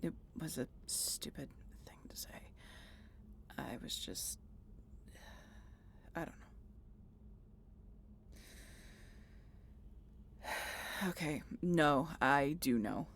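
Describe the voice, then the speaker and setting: Woman voice acting a centuries-weary vampire lady, creaky slow voice, guarded and sarcastic, swears, intimate audio drama. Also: low voice, animated, in a whisper, exaggerated voice. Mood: awkward, reluctant. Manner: halting, sardonic, casually profane. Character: reluctant century-old female vampire, sardonic, guilty, guarded, profane. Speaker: audio roleplay voice actor. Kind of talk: intimate scripted audio roleplay addressed to the listener.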